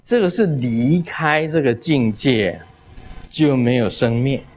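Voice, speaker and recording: A man's voice: mid-range voice, animated, takes it slowly, darkish tone. A man in his sixties, a Buddhist master giving a dharma talk, telling jokes and stories in short phrases. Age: 60s. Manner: lighthearted, storytelling in short phrases.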